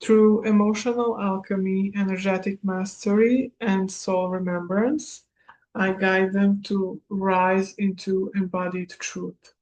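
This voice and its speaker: A woman in her thirties from Serbia, a native Hungarian speaker, giving a recorded spiritual coaching class in a measured manner. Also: mid-range voice, fairly even intonation, darkish tone, rough recording. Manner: slow, measured, with small laughs. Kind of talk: recorded masterclass talk.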